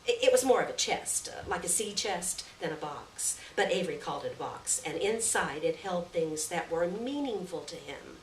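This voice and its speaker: A woman reading a literary short story aloud at a live event, with measured, deliberate phrasing and stressed words. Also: on the low side, lively intonation. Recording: distant roomy live recording, faint noise.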